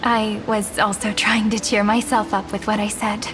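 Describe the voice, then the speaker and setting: An actress playing a gentle, wistful young woman in a game cutscene, speaking softly and earnestly. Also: medium pitch, level tone, normal speed, background noise, lightly acted. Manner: soft, earnest and reflective. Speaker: game character. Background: soft game score.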